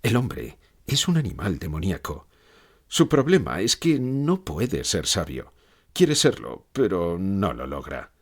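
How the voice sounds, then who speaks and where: A man's voice: sits low, huge pitch swings, unhurried, heavily acted. A man playing a gloomy old philosopher who gives grave, pessimistic answers in a scripted interview.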